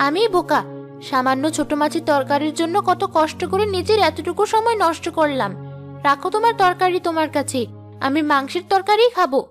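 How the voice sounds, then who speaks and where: A young village wife from a cartoon , acted by a woman, hot-tempered and boastful. Her voice is very high and she speaks in a demanding, sharp-tongued way. Soft music plays behind her. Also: animated, a little fast. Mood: scornful. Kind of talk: cartoon character dialogue.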